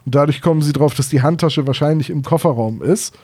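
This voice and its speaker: A man co-hosts a casual podcast. His voice sits a little high for a man, with a very mellow, rounded tone. He talks conversationally, as in a relaxed retelling. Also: quick pace, slightly rough. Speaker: podcast co-host.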